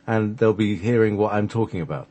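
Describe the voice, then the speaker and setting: Man, lowish pitch, radio host, casual sign-off talk. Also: a little fast, mellow.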